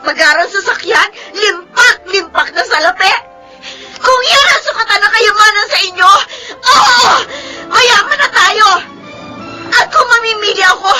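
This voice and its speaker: Rebellious teen daughter, Filipino,: very high, piercing, wounded melodrama delivery. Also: female voice, bright, forceful tone, heavily acted, low-quality recording. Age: young adult. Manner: defiant, tearful outbursts. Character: rebellious, hurt teenage daughter of newly rich parents. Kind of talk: melodramatic Filipino drama acting.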